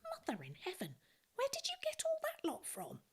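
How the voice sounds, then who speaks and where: A woman's voice: extremely high pitch, wildly swooping pitch, normal speed, pitched above the performer's own voice, heavily acted. A woman voicing a kindly, grateful man on the run in a storybook, with an earnest, questioning manner. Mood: amazed.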